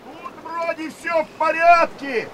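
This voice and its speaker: An actor playing a dogged, loyal young submarine petty officer who calls out haltingly in a radio drama. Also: male voice, very high-pitched, steady tone, exaggerated voice. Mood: strained, dogged. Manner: shouting haltingly over wind and waves, straining. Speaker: sailor. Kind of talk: Soviet radio drama acting. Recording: very noisy, wind and surf effects. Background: storm wind, waves.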